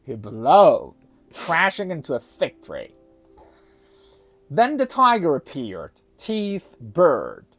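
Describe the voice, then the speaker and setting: A woman's voice: low voice, huge pitch swings, takes it slowly, pauses often, husky, highs cut off, soft background music. A woman storyteller narrating a children's folktale expressively.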